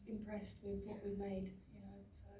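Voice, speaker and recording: A woman's voice: medium pitch, monotone, normal speed, background noise. A young woman, an actress, answering audience questions on stage in plain-spoken, down-to-earth words. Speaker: lead actress at a film Q&A. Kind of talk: candid personal answers on stage. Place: large cinema auditorium, on stage. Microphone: handheld mic through the hall speakers, filmed from the audience. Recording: echoey hall, heard from far off.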